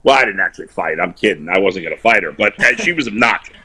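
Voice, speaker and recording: A man calling in to a sports talk show, loud, blunt and opinionated, bantering casually over a video call. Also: deep voice, lively intonation, quick pace, breathy edge. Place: remote video call while on vacation.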